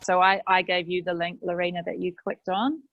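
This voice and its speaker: A woman's voice: fairly low pitch, little pitch movement, brisk, no room sound, dull audio. Middle-aged woman, plain practical tutor, dry headset-mic audio. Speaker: library workshop host. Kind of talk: explaining a video-call app. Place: small office with green wall.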